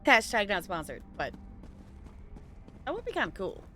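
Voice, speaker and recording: A woman's voice: mid-range voice, wildly swooping pitch, normal speed, fairly bright tone, soft background music. A woman streamer chatting playfully and rambling through casual live commentary on a game.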